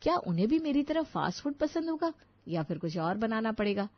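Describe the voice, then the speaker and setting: A woman's voice: a little high, very animated, brisk, no room sound, lo-fi sound. A woman voicing a timid, polite and wistful young new bride in a story.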